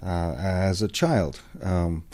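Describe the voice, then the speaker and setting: A middle-aged man from England, a metalsmith, answers a radio host's questions in a low, deep voice. He speaks thoughtfully and hesitantly, pausing often on ums and uhs as he explains his craft. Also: steady tone, a little mellow. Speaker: guest metalsmith.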